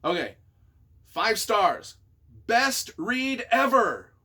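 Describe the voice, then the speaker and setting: Animated, bright-voiced man reading reviews. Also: sits high, extremely slow, husky. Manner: expressive, wry, self-deprecating. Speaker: author and YouTuber. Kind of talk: reading reviews aloud with casual reactions.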